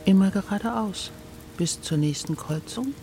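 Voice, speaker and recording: An actress playing a mysterious, calm woman from a dream in a radio drama. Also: mid-range voice, unhurried. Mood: calm, eerie. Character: mysterious dream woman calmly giving absurd directions.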